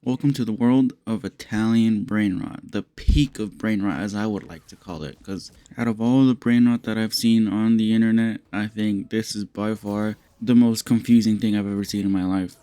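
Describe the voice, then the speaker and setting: A young man with a slightly raspy voice giving casual, rambling commentary, swearing freely and sounding amused. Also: lowish voice, fairly even intonation, normal speed, few pauses, warm tone, no echo. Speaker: commentary YouTuber.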